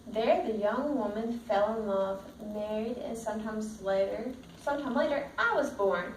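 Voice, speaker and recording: A woman reading a picture book aloud as a teacher, pausing to put simple questions to young pupils. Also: on the high side. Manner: checks understanding with simple questions. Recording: roomy, distant mic, faint background noise.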